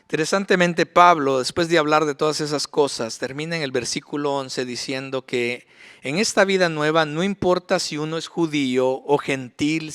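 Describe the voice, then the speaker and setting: A man's voice: on the high side, a bit bright, clean tone. A middle-aged male pastor preaching a sermon in a church, emphatic, with rhetorical questions. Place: church sanctuary.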